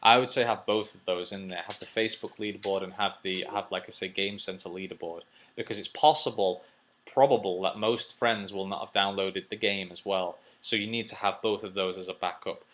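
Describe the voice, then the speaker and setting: A man's voice: sits low, fairly even intonation, a little fast, a bit bright. A man hosting a casual, unscripted advice video, answering viewers as he thinks aloud, rambling and repeating phrases.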